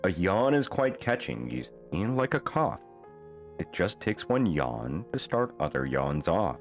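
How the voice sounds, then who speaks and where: A man reading a rhyming children's bedtime book aloud as an expressive storyteller. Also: deep voice, a little slow, soft background music.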